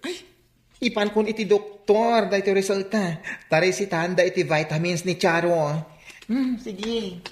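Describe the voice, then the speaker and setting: A woman's voice: mid-range voice, unhurried, echoey room, lightly acted. A woman playing a dutiful, practical grown family member in a radio drama. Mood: matter-of-fact.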